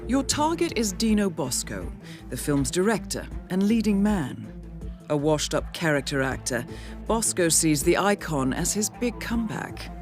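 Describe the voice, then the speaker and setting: An actress playing a composed, formal intelligence handler who gives measured, precise mission briefings in a video game. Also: female voice, on the low side, big pitch swings. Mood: composed, businesslike. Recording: game cinematic with loud music bed. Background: loud music.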